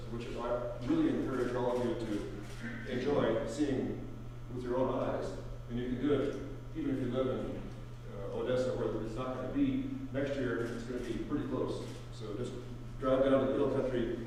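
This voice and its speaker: A man's voice: mid-range voice, dark tone, slight background noise. An older man with a Texan accent giving a chatty, rambling public science talk, with many fillers. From Texas. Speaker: guest lecturer. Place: large echoey lecture hall. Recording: echoey hall from far off.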